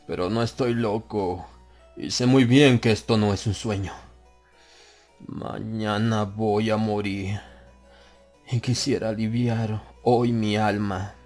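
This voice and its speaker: A man narrating a horror tale as an audiobook, in a grave and measured way, stretching key phrases out slowly. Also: fairly low pitch, steady tone, darkish tone. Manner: grave, measured delivery with drawn-out slow phrases. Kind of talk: dramatic horror audiobook reading. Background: faint harpsichord music.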